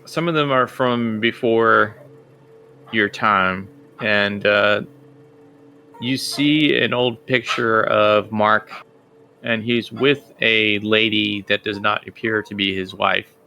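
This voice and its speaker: A man narrates a tabletop game off the cuff, feeling his way through each phrase. His voice is fairly low and gravelly, and he takes his time, with some noise in the background. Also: fairly even intonation, takes it slowly, sharp tone. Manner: hesitant, conversational, thinking aloud. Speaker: game master.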